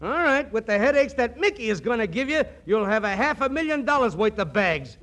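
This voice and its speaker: An actor playing a slangy, incredulous, wisecracking pal in 1940s radio comedy style. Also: male voice, very high voice, big pitch swings, fairly quick, fairly bright tone, heavily acted. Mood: incredulous, wry.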